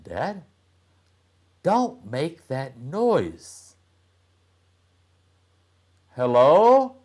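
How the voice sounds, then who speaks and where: A man's voice: on the high side, wildly swooping pitch, drawn-out words, a little mellow, pitched above the performer's own voice, heavily acted. A man voicing a curious, easily annoyed young boy in a children's storybook read-aloud. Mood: puzzled.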